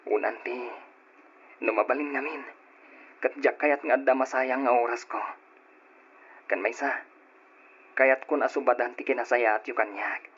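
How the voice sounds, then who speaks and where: An actor playing a kind, dutiful young nephew in an old radio drama, speaking gently and respectfully. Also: male voice, mid-range voice, steady tone, normal speed, long pauses, husky, close to an everyday voice. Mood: grateful, earnest. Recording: dull old radio recording.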